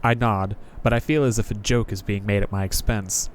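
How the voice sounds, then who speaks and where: A man's voice: on the low side, fairly even intonation, fairly quick, a little hiss or hum. A man hosting a gaming video, reading narration aloud and commenting in a casual, jokey, informal way.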